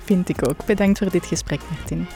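A woman's voice: fairly low pitch, fairly quick, music in the background. A woman hosting a conversational podcast interview.

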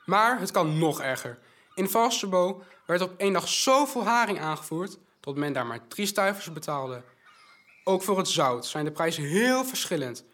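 An actor playing a bright, polite young orphan messenger boy who eagerly passes on news in an audio drama. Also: sits high, big pitch swings, normal speed, full character voice. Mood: informative, keen. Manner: polite, informative, recites news in detail.

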